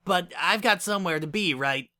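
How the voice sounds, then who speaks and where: A man's voice: high voice, normal speed, sharp tone, pitched above the performer's own voice. A man voicing a flustered, anxious young female pony with a hesitant, awkward manner in a dramatic story reading. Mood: nervous, awkward.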